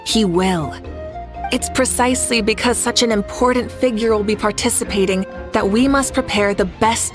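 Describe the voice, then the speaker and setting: Actress as a confident, highish-voiced young female leader. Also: natural voice. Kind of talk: video game voice acting.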